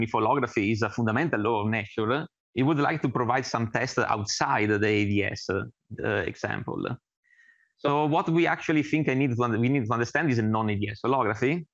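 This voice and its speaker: A man from Italy with an Italian accent gives an informal research presentation as an academic, speaking haltingly with many filled pauses. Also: medium pitch, fairly bright tone, no echo, dull audio. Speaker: academic researcher introducing himself and his work.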